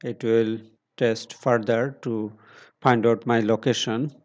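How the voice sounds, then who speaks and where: Slow, steady man walking through a tutorial. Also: medium pitch, dull audio. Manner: slow, step-by-step instructions with pauses and fillers. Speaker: tutorial presenter. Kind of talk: screen-recorded tech tutorial.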